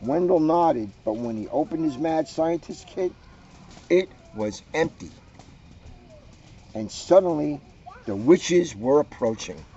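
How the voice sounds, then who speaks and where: A man's voice: a little high, lively intonation, slow, pauses often, mellow, highs cut off. A man reading a storybook aloud with playful, joking asides.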